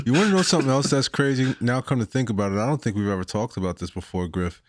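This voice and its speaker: A man's voice: mid-range voice, fast talker, no echo, clean studio sound. An American man from Florida chatting casually as a podcast co-host, colloquial and joking. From Florida, United States. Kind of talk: casual chat.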